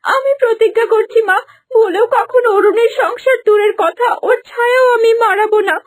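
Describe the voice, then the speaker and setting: A woman voicing a gentle young heroine in a cartoon, tearful and pleading for her life. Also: extremely high pitch, moderate pace. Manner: pleading, tearful cries for help. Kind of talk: cartoon voice acting. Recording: music bed underneath.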